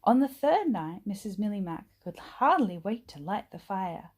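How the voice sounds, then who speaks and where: A woman reading a children's bedtime story aloud in an expressive, acted-out manner. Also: medium pitch, moderate pace. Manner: animated, acts out dialogue and sound words. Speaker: storybook reader.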